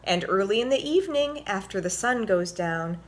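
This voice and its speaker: A woman's voice: medium pitch, expressive, normal speed. Woman reading a children's storybook aloud.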